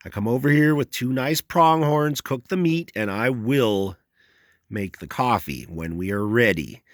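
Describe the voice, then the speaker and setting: A man's voice: mid-range voice, expressive, a little slow, no room sound, studio quality, lightly acted. A man voicing an opinionated middle-aged rural man who rambles in folksy, ungrammatical speech. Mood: rambling. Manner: folksy drawl, ungrammatical, long-winded.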